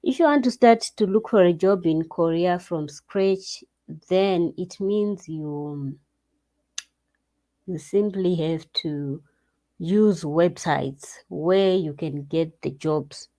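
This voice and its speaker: Slow, mellow-voiced woman giving advice. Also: on the low side, rough recording. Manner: unhurried, loose, with restarts and asides. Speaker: vlogger giving job advice. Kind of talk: casual advice talk to camera. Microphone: screen-share recording.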